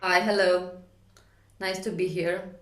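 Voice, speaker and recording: A woman in her thirties from Romania with a Romanian accent, doing a stand-up set over a video call from home, dry and unhurried, laughing at her own jokes. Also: on the low side, little pitch movement, reverberant, noisy background. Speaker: stand-up comedian. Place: home, at night.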